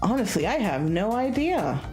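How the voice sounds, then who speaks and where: A woman's voice: medium pitch, sing-song, normal speed, a little mellow, echoey room, soft background music, close to an everyday voice. A woman voicing a tough, loyal young man in a visual novel, blunt but casual and reassuring. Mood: casual, helpful.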